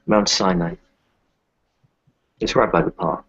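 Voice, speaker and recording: A man playing a weary, kindly doctor in a tabletop roleplay, giving measured, melancholy advice. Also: sits low, steady tone, a bit bright, slightly rough, no room sound, muffled recording, heavily acted. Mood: gentle, reassuring. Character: weary, kindly male kinfolk doctor who lost his children. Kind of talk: tabletop roleplay, improvised in character.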